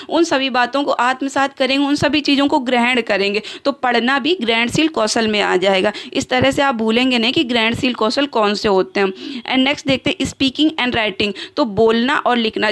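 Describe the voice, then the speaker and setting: A woman's voice: on the high side, a bit bright. A woman teaching an exam-prep lesson over slides, explaining in Hindi with English terms and pairing each term in both languages, with fillers and self-corrections.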